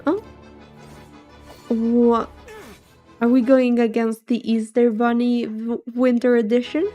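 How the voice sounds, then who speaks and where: Soft, high-voiced woman streamer, unhurried. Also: level tone, highs cut off, soft background music. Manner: musing, hesitant, playful. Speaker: livestreamer, vtuber. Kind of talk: casual livestream reaction chat.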